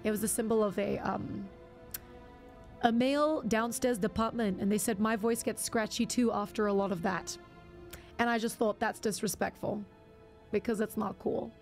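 A woman's voice: mid-range voice, fairly even intonation, moderate pace, creaky, darkish tone, soft background music. A young woman streaming a game, chatting casually with viewers in a slightly scratchy voice, often stumbling and restarting her sentences. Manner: chatty, stammering restarts, reacting aloud. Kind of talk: casual live-stream chat while gaming.

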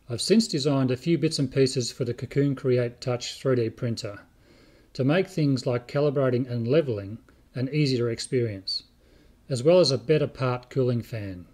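An older man narrates a scripted how-to in a measured, methodical way. His voice is mid-pitched and a little husky. He explains things step by step. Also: darkish tone. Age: older, about 60. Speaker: video host, 3D print designer.

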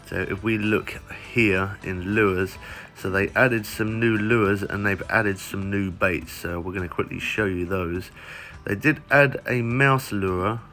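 A man giving a casual walkthrough of a fishing game's update, his voice croaky from lack of sleep, chatting in a rambling, self-correcting way as he searches the menus. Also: lowish voice, slow pace, bright, forceful tone. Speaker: gaming YouTuber. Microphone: phone recording mic.

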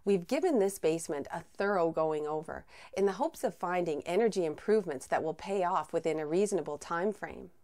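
A Canadian woman in her thirties presenting a home energy television segment, with clear, measured scripted narration. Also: on the low side, expressive, a little mellow. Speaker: TV host.

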